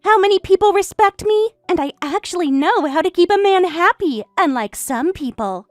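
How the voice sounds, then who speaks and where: A woman playing a shrill, smug home-wrecker. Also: animated, normal speed, no echo, soft background music. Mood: boastful. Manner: taunting, boastful, indignant. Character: smug, catty young woman who stole her best friend's husband. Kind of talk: voiced story drama.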